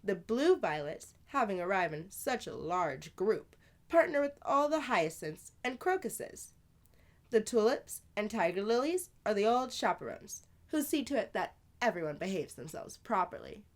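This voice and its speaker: A woman voicing a playful, knowing older sister who tells fanciful tales in an animated, confiding way for a children's storybook. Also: medium pitch, moderate pace, voice pushed up. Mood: excited, confiding. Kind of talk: children's storybook read-aloud.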